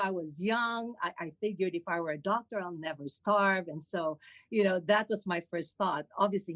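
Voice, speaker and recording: Lively middle-aged woman cardiologist. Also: on the low side, no room sound. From Philippines. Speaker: cardiologist, guest. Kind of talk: conversational interview.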